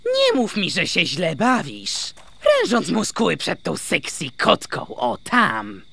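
A woman's voice: on the low side, wildly swooping pitch, takes it slowly, gravelly, fairly bright tone, heavily acted. A woman voicing a manic, theatrical young male cat bootlegger in a cartoon, grandiose and teasing. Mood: teasing, melodramatic. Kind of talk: animated cartoon dubbing.

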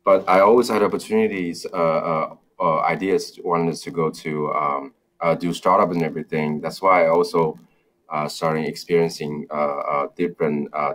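A man's voice: very deep voice, a bit bright, slight background noise. A man, a startup founder on a video call, talking hesitantly with many fillers and restarts. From China. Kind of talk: interview over video call. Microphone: video call, webcam.

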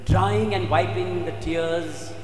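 Slow, echoing priest preaching solemnly. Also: male voice, sits high, fairly even intonation, a little mellow. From India. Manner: solemn, emphatic, dramatic delivery with repeated phrases and pauses. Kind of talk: sermon. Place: inside a church. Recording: echoey church hall, faint background noise.

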